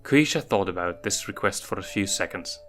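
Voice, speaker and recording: Man narrating with lively pitch. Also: brisk, soft background music. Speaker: story narrator. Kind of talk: scripted fan fiction narration.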